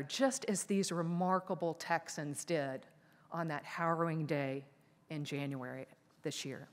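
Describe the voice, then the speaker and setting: A woman in her fifties giving a formal prepared keynote speech from a lectern on a conference stage, in a measured, earnest delivery. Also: fairly low pitch. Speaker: keynote speaker. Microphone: lectern microphones. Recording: reverberant conference hall.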